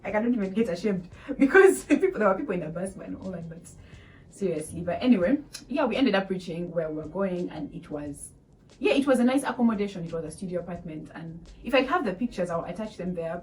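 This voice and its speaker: A young woman in her twenties with a Ugandan accent, telling a casual storytime to viewers, animated and laughing often. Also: on the low side, fast, recorded from a distance, poor audio. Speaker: vlogger. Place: small room indoors.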